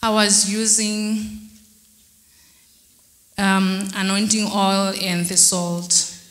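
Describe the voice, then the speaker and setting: A young woman tells her story slowly into a handheld microphone, hesitating and restarting as she goes. Her delivery stays flat and even. The sound is roomy, with the echo of a large hall. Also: mid-range voice. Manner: halting, hesitant, many fillers and restarts. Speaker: church member giving testimony. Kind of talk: testimony interview. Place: church hall backdrop, indoors. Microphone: handheld mic held by interviewer.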